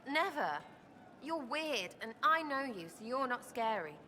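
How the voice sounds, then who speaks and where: A woman's voice: sits high, very animated, measured pace, sharp tone, big-room sound, slight background noise, exaggerated voice. An actress playing a blunt, wary, irreverent young woman in a video game, with choppy, slangy phrasing. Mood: earnest, wary. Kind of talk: video game dialogue acting.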